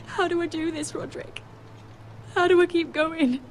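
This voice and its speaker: A woman playing a grieving young noblewoman in a fantasy video game, speaking in a raw, emotional, halting way. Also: very high voice, steady tone, a little hiss or hum. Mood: subdued, sorrowful. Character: grieving young noblewoman mourning her murdered brother.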